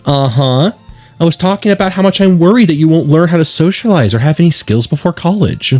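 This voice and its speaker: A man's voice: a little high, expressive, fairly quick, a little mellow, soft background music, pitched above the performer's own voice, lightly acted. A man voicing a carefree, sweetly nagging teenage schoolgirl from a visual novel, bubbly and pleading. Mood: pleading, cheerful. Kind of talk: visual novel character read-aloud.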